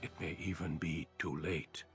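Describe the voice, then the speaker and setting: An actor playing a grave, weary veteran warrior commander in a fantasy game, speaking in a solemn, measured way. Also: male voice, extremely low pitch, steady tone, over music. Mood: solemn, ominous. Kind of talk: dramatic fantasy game narration and acting.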